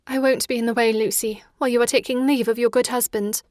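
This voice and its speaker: A woman's voice: a little high, steady tone, quick pace, a little mellow, no room sound, clean studio sound, natural voice. An actress playing a fragile, anxious young Victorian woman, fretful and intense with foreboding, in a theatrical audiobook drama. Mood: fixated, uneasy.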